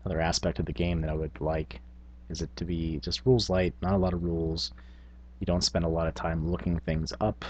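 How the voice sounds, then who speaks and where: A man's voice: low-pitched, level tone, a little fast, darkish tone, highs cut off. A man speaking informally to camera as a tabletop gaming vlogger, thinking aloud in casual talk. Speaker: tabletop gaming video blogger.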